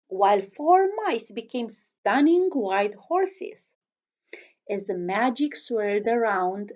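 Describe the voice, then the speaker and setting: Woman, children's story reader, unhurried pace, expressive, chatty asides, slightly dull lo-fi recording. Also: a little high. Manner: expressive, chatty, addresses young listeners with questions. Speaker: storyteller reading aloud to children. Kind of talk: animated read-aloud of a children's storybook with asides.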